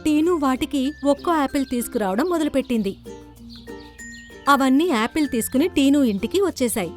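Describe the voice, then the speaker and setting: High-pitched woman narrating children's stories. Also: expressive, fairly quick. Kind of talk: scripted children's story narration. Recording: background music under the voice.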